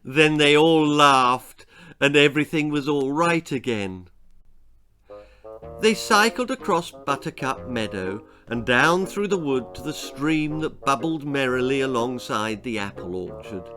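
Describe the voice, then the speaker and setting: British man, lively children's narrator, unhurried pace, faint music behind. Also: medium pitch. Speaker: author reading own children's story. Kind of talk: animated scripted storybook narration.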